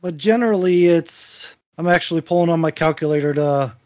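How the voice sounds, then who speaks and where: A man's voice: fairly high pitch, a little fast, darkish tone, no echo, lo-fi sound. A man in his forties co-hosting a podcast, chatting casually and rambling with frequent restarts. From Virginia. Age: 40s. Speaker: podcast co-host. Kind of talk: casual chat.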